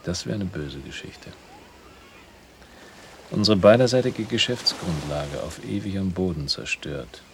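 Creaky, low-voiced, coldly menacing gangster businessman. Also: animated, measured pace, pauses often, a little hiss or hum. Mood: veiled menace. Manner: pompous, elaborately formal, veiled threats. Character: coldly menacing criminal businessman threatening revenge. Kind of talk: radio drama acting.

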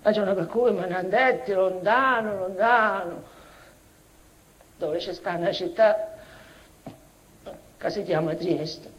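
A woman's voice: medium pitch, slow, long pauses, lightly acted, low-quality recording. An actress playing a mad, childlike, tormented mother in a tragicomic stage monologue, speaking a broken invented dialect with obsessive repetitions and stammered restarts. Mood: mournful.

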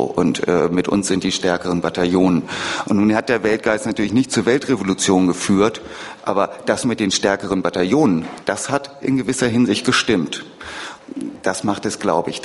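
A man giving an informal political talk in a halting way, thinking aloud as he goes. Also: low-pitched, a little hiss or hum. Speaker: speaker at a public lecture. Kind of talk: informal spoken political lecture answer. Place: reverberant lecture room or hall. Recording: echoey hall recording of live talk.

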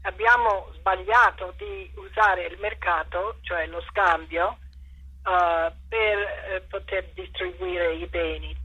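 Slow, halting woman with a husky edge. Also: on the low side, pushed and bright, low-quality recording. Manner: hesitant, halting, searching for words. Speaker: interviewed author and thinker. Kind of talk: unscripted interview answers explaining ideas.

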